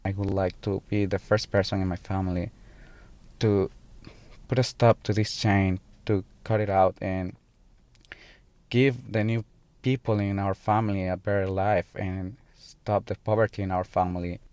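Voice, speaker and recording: A man's voice: sits low, steady tone, darkish tone, no room sound. Earnest young man. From El Salvador. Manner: halting, earnest, pauses often mid-sentence. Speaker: student. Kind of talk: personal testimony in a documentary video.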